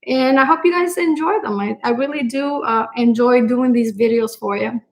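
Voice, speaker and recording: Cooking host, woman, middle-aged, Puerto Rican accent, a bit high-voiced, unhurried live-stream chat full of ums. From Puerto Rico. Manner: warm-hearted, grateful, frequent fillers and pauses.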